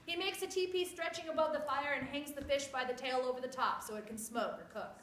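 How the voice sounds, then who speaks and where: A woman reading scripted story narration aloud in an expressive, theatrical way. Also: sits high, a little fast. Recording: echoey room with clear background noise.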